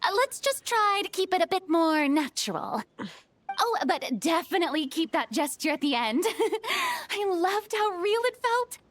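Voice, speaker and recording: A woman's voice: very high-pitched, big pitch swings, measured pace, fairly bright tone, a little hiss or hum, exaggerated voice. An actress playing a theatrical, exacting but encouraging young woman film director in a video game. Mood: commanding, encouraging. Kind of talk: animated video game voice acting. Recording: faint music behind.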